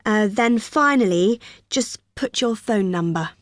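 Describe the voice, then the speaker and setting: An actress playing a friendly student union receptionist who explains a form patiently in a scripted exam dialogue. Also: female voice, medium pitch, expressive, measured pace, no echo, clean studio sound, natural voice. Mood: helpful. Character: cheerful young female union helper.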